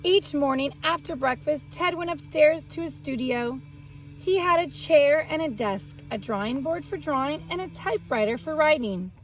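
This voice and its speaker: A woman reading a picture book aloud to young children, expressive, pausing to explain words simply. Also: sits high, soft background music, poor audio. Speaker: children's read-aloud host and art teacher.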